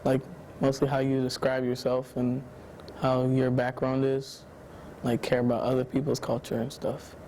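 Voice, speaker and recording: A teenage boy answers documentary questions in an extremely flat, mellow voice. He is laid-back and halting, and says "like" a lot. Faint background hiss sits under him. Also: mid-range voice, rough recording. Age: teens. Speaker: student interviewee.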